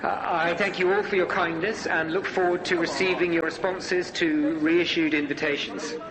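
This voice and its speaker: Guy doing nervous polite host man, highish voice, stiff and sorry, crowd behind him, radio play. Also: fairly even intonation, lightly acted, low-quality recording. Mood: nervous, formal. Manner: formal, halting, apologetic. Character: flustered, deferential male event host placating high-ranking guests. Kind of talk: radio drama acting.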